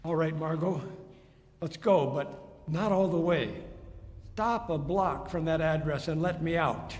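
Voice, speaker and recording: A man playing a calm, shrewd gentleman crime fighter in 1930s radio style, measured and deliberate. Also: on the high side, natural voice. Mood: grave, intent. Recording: echoey hall, long reverb.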